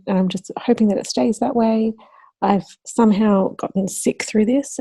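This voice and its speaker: Female vlogger, slightly croaky and warm, friendly and upbeat, dry close sound. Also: medium pitch, dry recording. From Australia. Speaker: budgeting channel host. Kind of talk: casual talk to camera.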